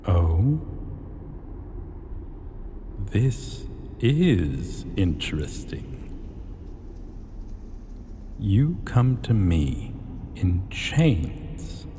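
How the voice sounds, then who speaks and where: In a long cavernous reverb, a man plays an arrogant, possessive dragon king for a fantasy roleplay. The voice is low and dark, with pompous, archaic phrasing that is drawn out very slowly. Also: big pitch swings, a little hiss or hum, heavily acted. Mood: haughty, intrigued. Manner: pompous, deliberate, archaic formal diction. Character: arrogant, possessive male dragon king, ancient hoard-keeper. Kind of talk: scripted fantasy ASMR roleplay.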